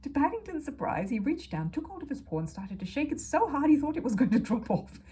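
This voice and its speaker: A woman reading a children's story aloud as an expressive narrator. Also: sits high, fast talker.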